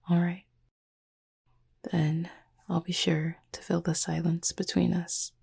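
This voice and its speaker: A woman voicing a calm, proud but caring cupid roommate in a whispered sleep-aid roleplay, speaking in a breathy, husky half-whisper with measured, formal, gently teasing phrasing. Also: lowish voice, exaggerated voice. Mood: tender, reflective.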